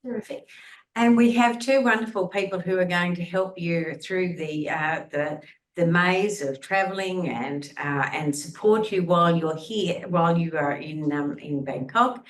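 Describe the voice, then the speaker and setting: A woman hosts a video meeting and introduces her colleagues in an upbeat, friendly way. Her voice is on the low side, and the room around her echoes. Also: measured pace. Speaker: course lead. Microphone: video call.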